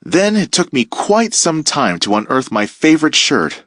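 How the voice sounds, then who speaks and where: A man teacher reading a children's picture book aloud with playful, expressive storytelling. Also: fairly high pitch, normal speed, no room sound, clean studio sound.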